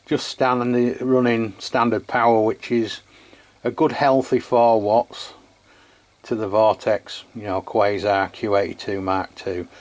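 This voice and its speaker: A man's voice: lowish voice, little pitch movement, unhurried, a little mellow. A man chatting casually as he hosts a hands-on radio equipment test. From England. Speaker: radio channel host testing equipment.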